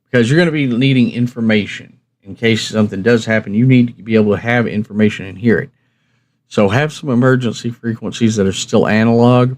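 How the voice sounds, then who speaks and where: A man with a fairly low voice talks straight to his viewers in a casual, instructional way. He sounds plain-spoken and folksy, rambling a little as he explains things like the host of a hobby review channel. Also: fairly quick, a little mellow.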